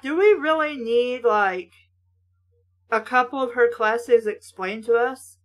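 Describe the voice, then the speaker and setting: A woman's voice: a little high, takes it slowly, highs cut off. A woman reading aloud and breaking off for blunt, exasperated, sweary, sarcastic commentary. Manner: casual, sweary. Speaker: host of a book-mocking reading show.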